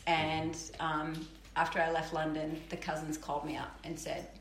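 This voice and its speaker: A woman's voice: low-pitched, completely flat delivery, normal speed, echoey room, slight background noise. A woman telling a rambling personal story in casual chat, laughing often. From New Zealand. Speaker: guest. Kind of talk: casual storytelling before a live audience.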